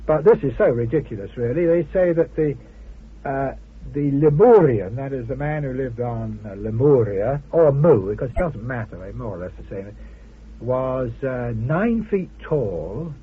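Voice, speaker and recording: A man being interviewed as a guest author, talking informally and haltingly with wry amusement. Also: mid-range voice, expressive, breathy edge. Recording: muffled vintage radio interview.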